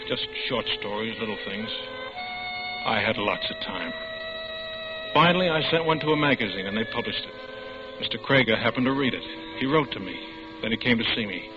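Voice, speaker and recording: A man's voice: medium pitch, background noise, natural voice. An actor playing a bitter young ex-convict telling his own story as narrator. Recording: lo-fi old radio drama.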